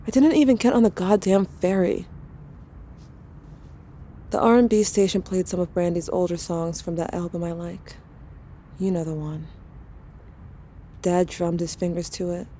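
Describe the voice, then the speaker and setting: Woman as bitter, grieving teen girl, audio-drama letter narration; lowish mellow voice, blunt and confessional. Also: slight background noise, natural voice. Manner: sardonic, confessional, bluntly informal. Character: angry, grieving teenage girl writing to her dead sibling.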